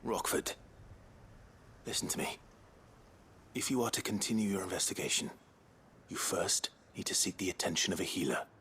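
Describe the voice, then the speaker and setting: A young British nobleman and swordsman from a fantasy game. His voice sits very deep, with faint noise behind it. He is stoic and reserved, speaking in short, grave, earnest phrases. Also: normal speed, long pauses, bright, forceful tone. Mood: firm concern. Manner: low-key, terse, grave. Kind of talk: video game voice acting.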